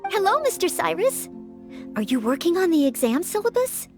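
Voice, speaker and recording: A very high-voiced, earnest young girl adventurer. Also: big pitch swings, normal speed, full character voice. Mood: polite, curious. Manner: courteous, earnest, gentle. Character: polite, eager young maid-adventurer girl. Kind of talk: anime-style fantasy video game voice acting. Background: music.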